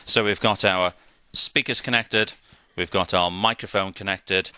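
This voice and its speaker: A man's voice: deep voice, steady tone, measured pace, pushed and bright, clear, resonant tone, no room sound. A man giving a methodical, step-by-step instructional equipment demonstration. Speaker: product demonstrator.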